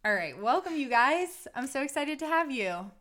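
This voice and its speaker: A woman's voice: high voice, sing-song, moderate pace, a bit bright. A woman hosting a podcast, enthusiastic and gushing as she introduces and chats with her guests. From Charlotte, North Carolina. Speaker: podcast host. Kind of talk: casual interview chat.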